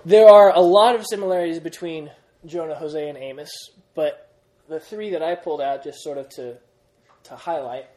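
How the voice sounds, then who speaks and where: A young man leading a Bible study class, energetic, quizzing the group and often restarting his sentences. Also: fairly high pitch, normal speed, a crowd in the background. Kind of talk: classroom Bible lesson with questions.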